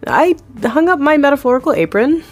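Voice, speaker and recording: A woman's voice: high-pitched, big pitch swings, brisk, soft background music. An actress in her thirties playing a candid, chatty TV executive producer who laughs easily and talks down her own success. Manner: candid, chatty, laughs often, self-deprecating. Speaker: TV executive producer, interview guest. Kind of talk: scripted audio drama, acted interview.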